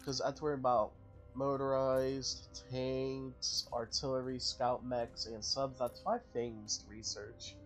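A man's voice: mid-range voice, flat delivery, a little slow. A man talks over his strategy game in a loose, thinking-aloud way, often stopping to correct his own math. His voice sits in a middle range. Faint game music plays underneath. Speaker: gaming channel host. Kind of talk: casual let's-play commentary.

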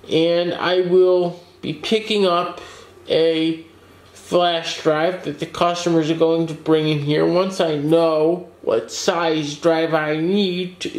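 A man with a fairly high voice talks slowly and steadily through a casual update from his computer shop. He explains each repair in plain terms, now and then restarting a sentence. His voice rings a little in an echoey small room. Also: a little mellow. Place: small office workshop with desk, indoors.

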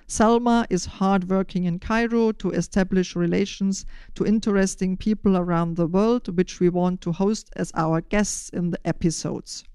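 Older German-accented woman, clean smooth voice. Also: fairly low pitch, fairly even intonation, normal speed, hardly any pauses, mellow, no echo, clean studio sound. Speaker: podcast host and initiator. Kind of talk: prepared, scripted-sounding podcast interview answers.

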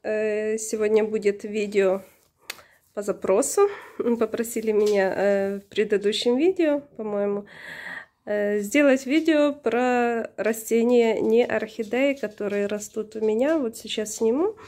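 A woman narrating her own home video casually and hesitantly, slightly hoarse from being unwell. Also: fairly high pitch, unhurried, a little mellow. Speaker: plant vlogger. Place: indoors, room in a flat. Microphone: handheld camera or phone, behind the camera.

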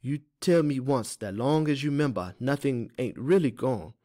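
A man voicing a young enslaved African girl in a storybook, glum and hurting, with halting, simple English. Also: medium pitch, animated, mellow, no room sound, studio quality, pitched above the performer's own voice, natural voice. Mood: gentle.

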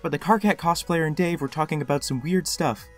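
An actor voicing a flustered, excitable young male fan in an animated comic dub, easily exasperated and prone to stammering. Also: medium pitch, brisk, faint music behind, natural voice. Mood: frantic. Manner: urgent, stammering.

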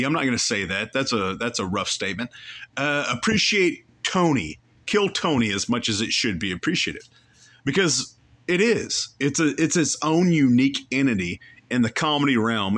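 A man's voice: medium pitch, a bit bright. Guy hosting a podcast, rambles and backtracks a lot, voice goes up and down all over. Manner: rambling, opinionated, self-correcting. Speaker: podcast host reacting to a clip. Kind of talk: casual commentary.

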